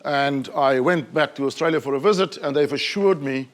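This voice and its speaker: A man from South Africa giving a keynote talk at a conference, measured and questioning, slowing down to stress key words. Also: a little high. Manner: measured, questioning, slows for emphasis. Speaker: conference speaker. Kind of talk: keynote presentation. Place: conference hall.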